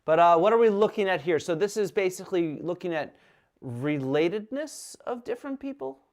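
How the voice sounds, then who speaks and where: A man hosting a casual interview over a video call, chatty and quick to laugh, often stumbling and restarting his sentences. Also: high voice, lively intonation, a little mellow. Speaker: host.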